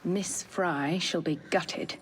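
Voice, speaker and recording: Icy villain woman, game cutscene, slow threats, lowish, long reverb. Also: husky. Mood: coldly menacing. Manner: slow, deliberate, menacing. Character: cold, sinister female villain, devoted accomplice. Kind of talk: dramatic game cutscene acting. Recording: long reverb, faint noise.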